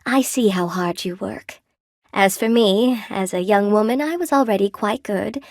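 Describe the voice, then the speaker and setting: A woman's voice: mid-range voice, measured pace, no echo, studio quality, natural voice. An actress voicing a friendly, wry spider weaver woman in a video game, chatty and coy, with a folksy, lightly theatrical delivery. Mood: wistful pride. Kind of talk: video game character dialogue. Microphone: close dry studio microphone.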